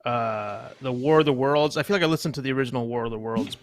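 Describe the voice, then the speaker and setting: A man around forty, a new guest, telling a relaxed anecdote and laughing as he goes in a group chat. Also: mid-range voice, fast, slight background noise.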